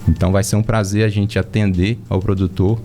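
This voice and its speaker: A man answering as a company representative in a studio, steady and full of "né". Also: on the low side, a little fast, a little hiss or hum. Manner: steady, peppered with "né". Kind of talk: casual interview answers.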